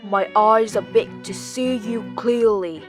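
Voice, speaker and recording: A woman's voice: mid-range voice, takes it slowly, close to an everyday voice. Devious storybook wolf, female narrator acting it, sly, hungry, emphatic delivery over music. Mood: menacing. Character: devious, hungry big bad male wolf.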